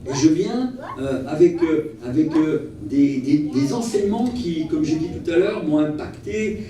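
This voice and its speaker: A male evangelist speaks in a very reverberant room, picked up from some distance. His voice is slightly high and mellow. He delivers an earnest, animated sermon built on personal stories, repeating key phrases for emphasis. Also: a little slow. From Portuguese family origin. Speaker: travelling evangelist. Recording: echoey hall, distant mic, faint noise.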